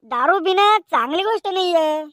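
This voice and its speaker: Very high-pitched cartoon village lad voiced by a woman. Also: lively intonation, moderate pace, noisy background. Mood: argumentative. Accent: rural Maharashtrian Marathi. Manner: colloquial, bantering. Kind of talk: cartoon comedy dialogue.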